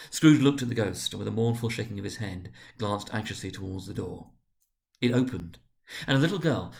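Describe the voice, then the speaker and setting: A man reading a story aloud as dramatic narration, with expressive, theatrical delivery. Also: fairly low pitch. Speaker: storybook reader.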